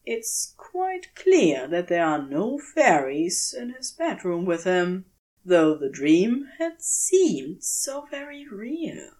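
A woman narrating a scripted story as an audiobook reader, expressive and deliberate, with dramatic pauses. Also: on the low side. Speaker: audiobook reader of fan fiction.

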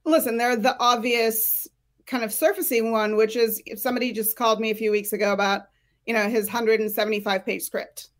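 Female expert guest, somewhat high pitch, plain-spoken conversational advice. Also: fairly even intonation, a little fast. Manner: frank, direct. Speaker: screenwriting career coach, guest.

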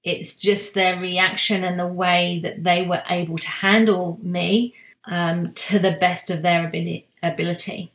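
A woman giving a slow, reflective spiritual talk, soft-spoken, with long pauses and restarts. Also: fairly low pitch, level tone, roomy sound. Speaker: spiritual guide sharing monthly energy reading.

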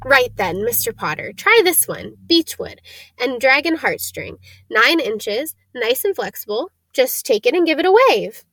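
A woman plays an old wandmaker from a storybook, a keen and slightly eerie man with a soft, high-set voice. He lists details in deliberate, clipped phrases and grows excitable. The sound is dry and close. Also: no room sound, heavily acted. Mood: businesslike, brisk. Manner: deliberate, clipped listing of details, exclamatory greetings. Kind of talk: storybook character reading.

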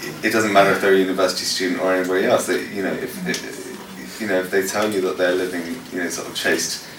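A man with a low voice fields questions at a church gathering, stumbling through fillers and restarts as he thinks aloud. He sounds distant from the mic, in a roomy hall with noise around him. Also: level tone, quick pace. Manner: hesitant, many fillers and restarts. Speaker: worship leader leading a panel session. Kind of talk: unscripted talk answering questions. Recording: echoey room, distant mic, noisy.

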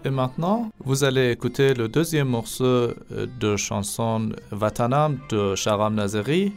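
Man, twenties, from Iran, podcast host, calm earnest narration, faint music underneath. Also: medium pitch, measured pace. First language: Persian. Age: 20s. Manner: calm, earnest storytelling with occasional hesitations.

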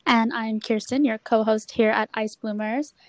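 A woman's voice: fairly high pitch, steady tone, normal speed, no echo. A young woman from the United States, co-hosting a podcast in upbeat casual chat, laughing often. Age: young adult. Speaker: podcast co-host.

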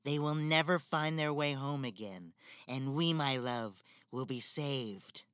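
Woman as a low-voiced wicked stepmother, cold and scheming, dry close sound. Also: slow pace, no room sound. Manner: manipulative, icy, theatrical.

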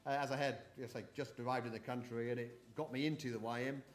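A man's voice: mid-range voice, steady tone, fast talker. A middle-aged man from New Zealand who moved to the UK as a child, chatting and rambling through memories as an interview guest. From born in New Zealand, moved to the UK aged eight. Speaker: podcast guest. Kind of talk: casual interview, reminiscing.

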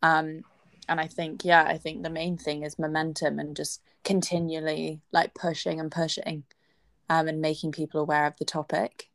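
Lower-voiced woman chatting casually. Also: flat delivery, moderate pace. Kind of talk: casual conversation.

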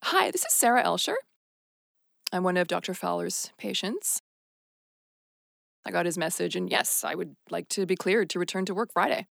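An actress playing a tough, embittered policewoman in an audio drama, with a creaky voice and a defiant, determined manner. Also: fairly low pitch, lively intonation, normal speed, long pauses, a bit bright, dry recording, clean studio sound, full character voice. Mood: brisk, polite. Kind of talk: naturalistic audio drama acting.

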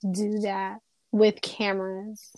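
A woman's voice: medium pitch, completely flat delivery, slow pace, a little mellow, dry recording, poor audio. Easygoing woman in her twenties, dry sound. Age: 20s. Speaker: podcast co-host. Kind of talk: casual chat.

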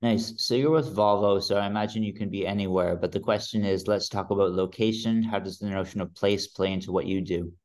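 A man's voice: lowish voice, steady tone, fairly quick, hardly any pauses, darkish tone. A man interviewing a guest, moving through numbered questions with short, upbeat prompts.

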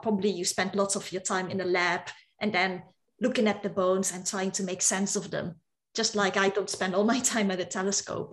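A woman from the Netherlands, an astronomer explaining supernovas in a relaxed, enthusiastic way during an online call. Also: medium pitch. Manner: enthusiastic, explanatory, some restarts. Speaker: astronomer guest.

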